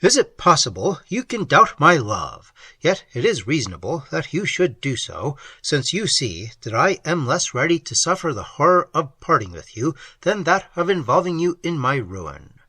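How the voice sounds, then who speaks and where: A man's voice: on the high side, big pitch swings, moderate pace, hardly any pauses, sharp tone, slightly rough, no room sound, heavily acted. A man reading an audiobook voices a desperate young man who is ruined and pleads wildly with the woman he loves. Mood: frantic. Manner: impassioned, wild, pleading. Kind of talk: audiobook reading of a classic novel.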